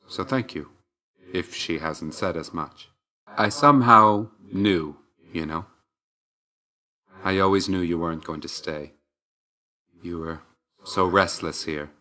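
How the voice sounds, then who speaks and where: A stern young god of death for a video game. His voice sits low. He speaks with aloof, dry restraint, brooding and measured in every line. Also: bright, forceful tone. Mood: reserved, then bitter, hurt. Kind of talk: scripted game character dialogue.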